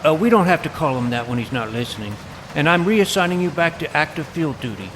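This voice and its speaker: Man voicing an earnest, higher-pitched bossy boy. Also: animated, brisk, fairly bright tone, a little hiss or hum. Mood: urgent, bossy. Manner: earnest, officious, radio-operator phrasing. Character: earnest, bossy twelve-year-old boy vampire hunter. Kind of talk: scripted audio drama acting.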